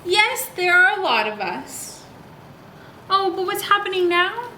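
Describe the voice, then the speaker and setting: A woman speaking as a children's librarian, reading aloud and explaining things to young children in an animated way, often asking questions and answering them herself. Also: very high voice, unhurried, background noise. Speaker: children's librarian hosting story time. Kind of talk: read-aloud and craft instruction for young children.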